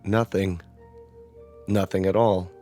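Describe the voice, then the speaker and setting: A man's voice: low-pitched, steady tone, slow, warm tone. An actor playing a stubborn, protective, middle-aged suburban father in a radio drama. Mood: dazed. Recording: music underneath the voice.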